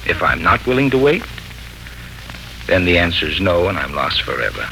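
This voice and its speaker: A man's voice: deep voice, very animated, moderate pace, gravelly, sharp tone, exaggerated voice. A man acting an impatient, lovelorn suitor in a 1940s radio drama, hesitant, folksy and wryly self-mocking. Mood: rueful, anxious. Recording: noisy 1940s radio broadcast recording.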